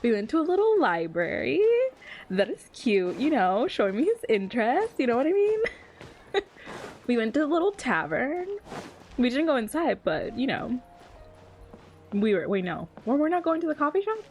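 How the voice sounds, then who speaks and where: A woman's voice: high voice, very animated, moderate pace, pauses often, darkish tone, slight background noise. A woman giving casual, playful game reactions, giggly and informal, often repeating herself. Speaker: streamer. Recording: background music behind the voice. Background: game music.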